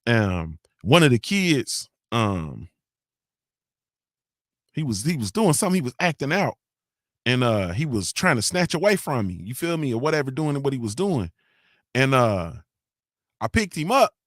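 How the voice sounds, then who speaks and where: A man's voice: medium pitch, very animated, a little slow, long pauses, no echo, studio quality. A man hosting a morning show, chatting loosely and cussing often, with slangy storytelling and constant "you feel me" tags. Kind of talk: casual live-stream chat reading comments.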